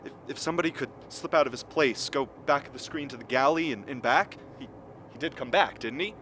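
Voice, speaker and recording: A man's voice: mid-range voice, brisk, fairly bright tone, a little hiss or hum, natural voice. A man playing a nervous, suspicious camp member who halts and repeats words in a radio drama.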